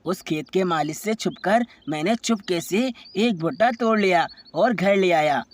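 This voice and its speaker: A woman voicing a clever, helpful male insect in a children's animated story. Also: on the low side, unhurried. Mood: pleased.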